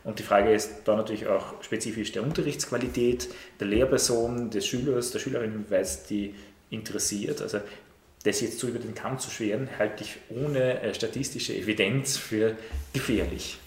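A man's voice: fairly low pitch, fairly even intonation. Male teacher, roomy echo. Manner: measured, many "ähm" fillers and restarts. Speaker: teacher of Latin and Ancient Greek. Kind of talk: interview, thoughtful explanation. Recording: roomy echo with faint noise.

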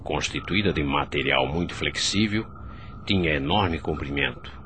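A departed doctor recalling the afterlife in a Brazilian radio drama. His voice is extremely low yet bright and firm, and his phrasing is formal and measured. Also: slight background noise. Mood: measured recollection. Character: male doctor's spirit recounting his arrival in afterlife. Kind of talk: scripted radio drama narration.

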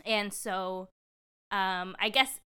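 A young woman in her twenties chatting casually as co-host, emphatic and repeating words when excited. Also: medium pitch, drawn-out words, smooth. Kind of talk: casual chat.

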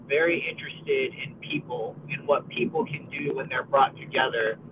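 A young American college student and podcast co-host chatting casually in a friendly, upbeat way, with many fillers and restarts. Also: male voice, mid-range voice, moderate pace, phone-quality sound, noisy background. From United States. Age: college age. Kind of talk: casual conversation.

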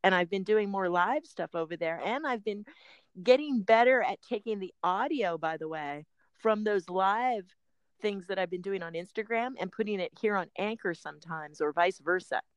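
A woman's voice: mid-range voice, normal speed, clear, resonant tone, dry recording. A middle-aged woman hosting a podcast, chatting casually with frequent laughs and rambling asides. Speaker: podcast host and personal trainer.

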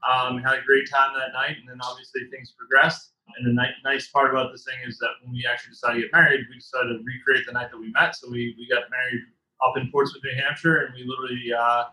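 A man from Massachusetts in his thirties, a business co-founder telling his story as a podcast guest in a relaxed way. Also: medium pitch, quick pace, roomy sound, rough recording. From Massachusetts, South Shore. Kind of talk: casual interview chat.

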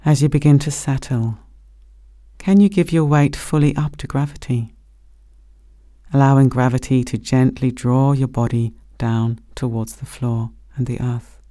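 A man gently leading a guided body scan meditation as a meditation teacher, speaking slowly and soothingly. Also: mid-range voice, steady tone, dry recording, studio quality. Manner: gentle, slow, soothing instruction.

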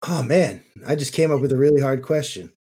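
Mid-pitched man in casual podcast chat. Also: animated, a little fast, mellow, husky. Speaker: podcast co-host.

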